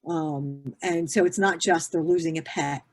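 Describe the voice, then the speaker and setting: A woman's voice: low-pitched, fairly even intonation, unhurried. A woman in her fifties, a grief therapist, answering interview questions in an earnest, explanatory way with many fillers and restarts. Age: 50s.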